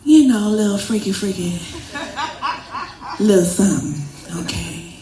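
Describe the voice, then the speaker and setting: A woman's voice: mid-range voice, measured pace, a crowd in the background. A woman introducing herself as a community mediator, speaking in a rhythmic way with repeated phrases. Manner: warm and rhythmic, uses rhetorical repetition. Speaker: community mediator and event host. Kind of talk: casual self-introduction. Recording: echoey room with faint ambience.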